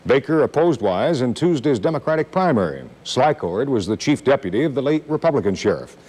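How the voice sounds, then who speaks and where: A man's voice: mid-range voice, big pitch swings, a little fast, darkish tone, husky. A man reading scripted headlines and introductions as a television news anchor.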